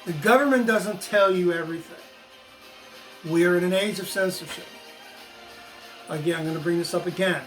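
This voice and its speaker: A man's voice: sits high, moderate pace, pauses often, a bit bright, faint music behind, low-quality recording. An American man in his sixties giving an opinionated explanatory monologue to viewers, emphatic and repeating phrases for stress. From United States. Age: 60s. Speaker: video channel host, former teacher.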